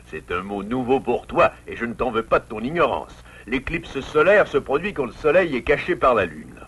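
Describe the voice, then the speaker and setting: A man's voice: medium pitch, lively intonation, normal speed, a bit bright, slightly rough. A man playing a learned, kindly father beaver in a French cartoon, explaining things patiently and pedantically. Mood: pleased, explanatory.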